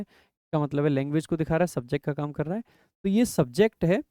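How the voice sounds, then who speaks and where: High-voiced man teaching grammar, dry mic. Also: mellow, dry recording. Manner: explanatory, asks and answers his own questions, reads rules slowly. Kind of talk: exam-prep grammar lecture in Hindi.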